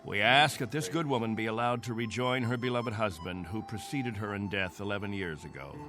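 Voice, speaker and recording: An actor playing the stern, grave, commanding captain of a failing space colony in a radio drama. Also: male voice, lowish voice, brisk, over music, close to an everyday voice. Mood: solemn.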